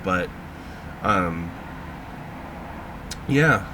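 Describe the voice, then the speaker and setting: A man with a fairly low voice talks unhurriedly through a casual vlog. He stalls on frequent ums and sounds a bit stuffed up. A steady background noise runs under everything. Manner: halting, lots of ums and trailing pauses. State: congested, feeling sick. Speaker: vlogger.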